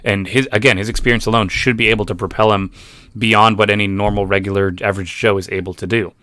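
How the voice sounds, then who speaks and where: Skeptical low-voiced man rambling. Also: fairly even intonation, fast talker, pushed and bright, no room sound. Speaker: YouTube commentator. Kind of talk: casual reaction commentary.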